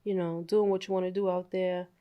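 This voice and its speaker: A woman's voice: on the low side, little pitch movement, fairly quick, clean tone, lo-fi sound. A woman hosting a casual interview, asking questions and teasing her guest with short, dry replies. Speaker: interview host.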